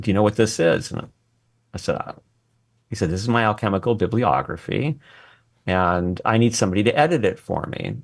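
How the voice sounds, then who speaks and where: A man talking as an interview guest, telling a personal story conversationally and acting out bits of remembered dialogue. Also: fairly low pitch. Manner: acts out quoted dialogue while reminiscing. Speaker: interview guest, former research assistant and scholar. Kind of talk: animated anecdotal storytelling in conversation.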